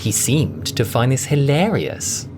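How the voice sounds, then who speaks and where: A man narrating a scripted audio drama as a theatrical storyteller, with deliberate emphasis. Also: mid-range voice, very animated, a little mellow. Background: music.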